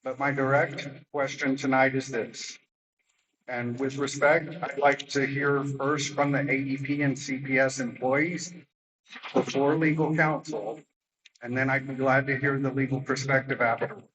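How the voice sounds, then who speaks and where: Man at public meeting, pointed formal questions, mid-pitched, distant mic, faint noise. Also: flat delivery, unhurried, a bit bright. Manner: formal, pointed, reads prepared remarks. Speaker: landowner questioning utilities.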